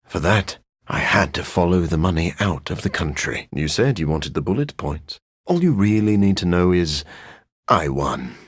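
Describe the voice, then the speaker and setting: An actor voicing a brooding, sardonic vampire scientist for a video game, dry, erudite and theatrical, with a gravelly, husky voice. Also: male voice, deep voice, animated, moderate pace, no room sound. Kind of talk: video game character voice lines.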